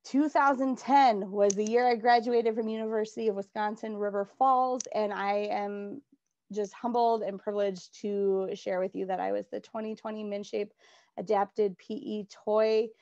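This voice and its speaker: A woman's voice: mid-range voice, steady tone, moderate pace, darkish tone, lo-fi sound. A woman in her thirties with a Minnesota accent, an adapted physical education teacher giving an upbeat, earnest, chatty virtual workshop talk. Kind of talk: virtual conference presentation.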